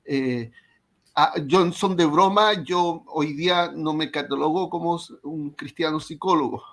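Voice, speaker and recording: A man's voice: fairly high pitch, a bit bright. Chilean-accented man, a psychologist guest. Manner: measured, self-deprecating humor, filler "ya". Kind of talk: interview answers.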